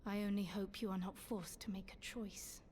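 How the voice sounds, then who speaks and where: A woman playing a gentle, concerned young ally in a fantasy game, with earnest, formal speech. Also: medium pitch, steady tone, moderate pace, slight background noise, lightly acted. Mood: worried. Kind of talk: video game cutscene voice acting.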